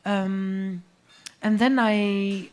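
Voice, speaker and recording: A woman's voice: mid-range voice, fairly even intonation, extremely slow, a little mellow. Hesitant woman. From Algeria, raised in France. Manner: hesitant, searching for words, many fillers. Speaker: musician guest. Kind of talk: live on-stage interview, casual chat. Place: small music venue stage.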